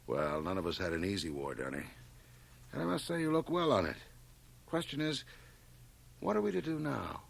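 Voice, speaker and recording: An actor playing a blustering board chairman of about fifty in a radio drama, with an emphatic, sometimes halting delivery. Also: fairly low pitch, wildly swooping pitch, gravelly, heavily acted. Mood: smooth, hearty. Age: middle-aged. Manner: emphatic, hesitant then blustering. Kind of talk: 1980 radio drama acting. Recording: home cassette transfer of radio broadcast.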